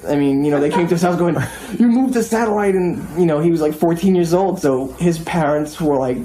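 A man telling an anecdote in a casual, animated way. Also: a little high, brisk, slight background noise, low-quality recording. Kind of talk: casual storytelling.

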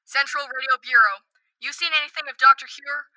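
A woman's voice: high voice, fairly even intonation, a little fast, bright, forceful tone, no room sound, far from the mic, low-quality recording. A woman acting an urgent, efficient radio bureau operator in an old-time radio drama. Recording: voice set back from mic.

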